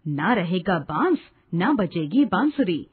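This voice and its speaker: A woman narrating a scripted literary story in an expressive, measured storytelling manner. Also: fairly low pitch, husky. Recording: phone-like, narrow-band sound.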